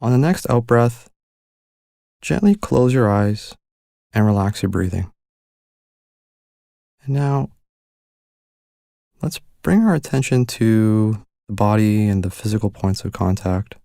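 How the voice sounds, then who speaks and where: Slow, mellow man guiding meditation. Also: fairly low pitch, vocal fry, no room sound, clean studio sound. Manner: calm, gentle, unhurried with long pauses. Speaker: meditation guide. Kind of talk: guided meditation, calm instruction.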